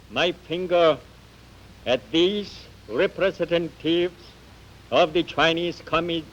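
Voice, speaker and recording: A dull 1950 archive recording of a man at a formal session. He speaks very slowly in a slightly high voice, emphatic and accusatory, repeating phrases for weight. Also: fairly bright tone. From Korea. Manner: emphatic, declamatory with rhetorical repetition. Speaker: diplomatic delegate.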